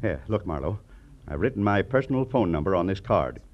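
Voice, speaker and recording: A brusque guardian, stern and exasperated. His low voice rises and falls widely as he lays down the law. The old radio drama sound is dull. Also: very animated, fairly quick. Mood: stern, worried. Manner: brusque, authoritative, precise. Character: stern, exasperated rich uncle and guardian, middle-aged or older. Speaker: supporting actor in a radio drama. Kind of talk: 1950s radio drama acting.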